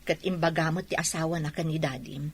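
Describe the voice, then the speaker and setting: A woman's voice: sits low, steady tone, a little fast, breathy edge. A woman playing a patient but resentful mother in a radio drama, with an Ilocano accent. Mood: inquiring, resentful.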